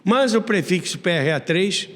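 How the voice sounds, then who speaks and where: Animated Brazilian man narrating in long reverb. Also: sits high, moderate pace. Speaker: host of a radio history show. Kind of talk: conversational scripted narration of radio history.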